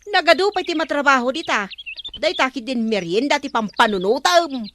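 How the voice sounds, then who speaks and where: Woman playing bossy naggy ilocano village councilwoman, high sharp voice, radio comedy, bit of noise. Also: lively intonation, moderate pace. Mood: sarcastic nagging. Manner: nagging, commanding, sarcastic. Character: bossy, scolding village councilwoman ordering lazy workers around.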